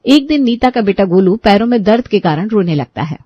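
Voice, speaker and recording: A woman's voice: mid-range voice, animated, brisk, dry recording, lo-fi sound. A woman narrating a scripted moral bedtime story in Hindi as the storyteller.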